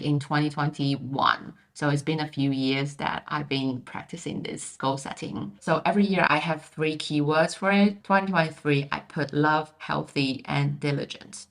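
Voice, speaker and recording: A young woman from Taiwan chatting candidly to camera as a vlogger, often repeating words for emphasis. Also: deep voice. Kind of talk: casual vlog talk. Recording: echoey room.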